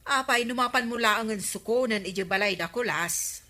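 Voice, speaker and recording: Radio comedy part: a questioning Filipino neighbor woman, advising, bright and forceful in tone. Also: on the high side, moderate pace. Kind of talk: Philippine radio comedy drama acting.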